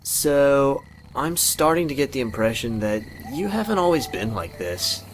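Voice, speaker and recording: A man's voice: medium pitch, big pitch swings, slight background noise, rough recording. A man voicing a friendly, awkward young ghost in a visual novel, rambling and correcting himself in an earnest way. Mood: awkward, explaining. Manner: halting, rambling, self-correcting. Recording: music and night insects behind. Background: music, crickets and frogs.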